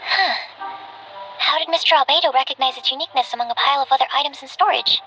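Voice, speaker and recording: A woman's voice: high-pitched, fast talker, bright, forceful tone, poor audio. A woman playing an earnest, bookish young female alchemist in an anime-style video game, polite and easily flustered. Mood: absorbed. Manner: earnest, formal, hesitant when flustered. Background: music.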